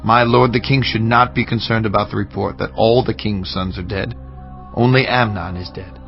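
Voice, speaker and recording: An actor playing a shrewd, scheming royal adviser in a dramatized audiobook. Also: male voice, fairly low pitch, fairly even intonation, moderate pace, creaky. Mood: calm, assuring. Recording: slightly dull audio with music bed.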